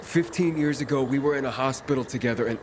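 An actor playing a guarded, determined young pilgrim in a video game, speaking earnestly and insistently. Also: male voice, medium pitch, fast talker, background noise, lightly acted. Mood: guarded, urgent. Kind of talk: video game dialogue acting.

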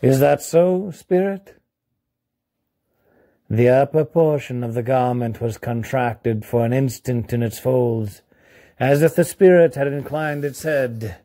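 A man with a Southern US accent narrates a classic story. His pace is slow and deliberate. The voice is mellow and sits at a middling pitch. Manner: deliberate, measured. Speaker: story reader. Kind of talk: storybook narration, read aloud.

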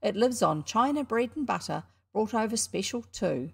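A woman voicing a cocky young schoolboy showman, loud and indignant as he hawks his show. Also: fairly high pitch, animated, moderate pace, gravelly, breathy edge, pitched above the performer's own voice, full character voice. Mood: boastful.

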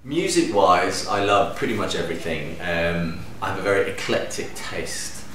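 A man in his thirties from Denmark, a DJ and producer, answering interview questions to camera in a relaxed, enthusiastic way with lively hand gestures. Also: low-pitched, moderate pace. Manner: relaxed, enthusiastic, gestures with hands while talking. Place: living room at home, indoors. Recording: echoey room, distant mic, faint hum.

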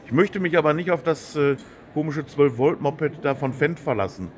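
A man's voice: fairly high pitch, moderate pace. A man hosting a casual interview at a trade fair, chatty and friendly with lots of agreeing. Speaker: host. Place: exhibition hall.

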